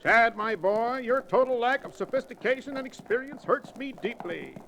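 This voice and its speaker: An actor playing a blustery old reprobate cowboy sidekick with pompous, florid diction in an old-time radio Western. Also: male voice, extremely high pitch, moderate pace, creaky, a bit bright, heavily acted. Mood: mock-scornful. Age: older. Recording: noisy vintage radio drama recording.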